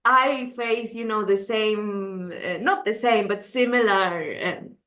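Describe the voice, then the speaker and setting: A woman's voice: mid-range voice, slow pace, fairly bright tone. Chilean woman, earnest, roomy sound. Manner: earnest, many restarts and "you know". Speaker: gender adviser, interview guest. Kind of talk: thoughtful interview answers. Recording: roomy echo.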